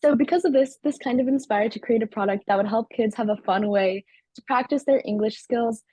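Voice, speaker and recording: Fast-talking teenage girl, husky and dry. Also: fairly high pitch, warm tone, no room sound, low-quality recording. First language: Turkish. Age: teens. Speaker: student company CEO. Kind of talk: interview answers.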